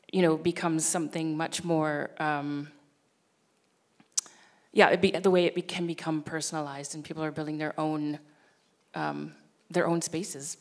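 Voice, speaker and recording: A woman panelist with a low voice talks on a stage into a handheld mic. She keeps a fairly flat, even tone and circles through her points, full of fillers and restarts. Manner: thoughtful, circling with many fillers. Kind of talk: round-table discussion. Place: large dark stage with audience.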